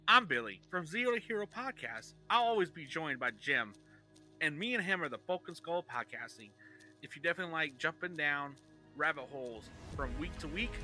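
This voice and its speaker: A man's voice: on the high side, sharp tone. A man hosting a podcast, reading a promo for his show. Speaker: podcast host.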